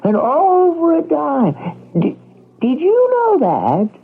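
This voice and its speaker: Creaky, crawling, ancient rich old man. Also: very high-pitched, wildly swooping pitch, exaggerated voice. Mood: wistful, grumbling. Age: older. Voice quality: raspy, quavering old voice. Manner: halting, slow, querulous. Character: incredibly aged, frail, querulous richest man in the world. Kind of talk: old-time radio drama acting. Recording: dull lo-fi old radio broadcast.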